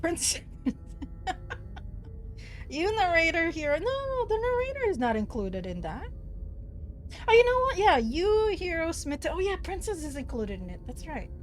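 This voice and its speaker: A woman's voice: extremely high pitch, sing-song, measured pace, long pauses, faint music behind. A woman streamer chatting casually while she plays a game, giggling often and teasing playfully. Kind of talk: casual gaming stream chat.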